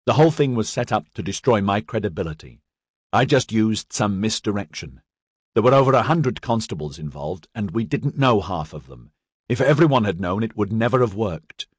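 Male narrator playing young fantasy squad leader, guilt-ridden, defensive, earnest, husky, dry audiobook sound. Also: on the low side, expressive, moderate pace, dry recording. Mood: insistent.

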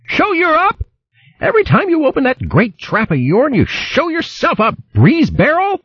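A man's voice: extremely high pitch, wildly swooping pitch, normal speed, fairly bright tone, dry recording, dull audio, voice pushed up, heavily acted. A man voicing a fierce, bossy female hedgehog who scolds in rustic country speech. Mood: scolding.

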